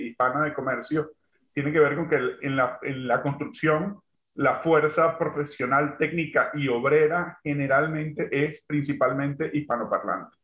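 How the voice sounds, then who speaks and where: A man from Venezuela, a construction entrepreneur speaking as an interview guest. He speaks thoughtfully and fills pauses with "este" and "digamos, ¿no?". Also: mid-range voice. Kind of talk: remote interview. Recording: roomy, dull lo-fi sound.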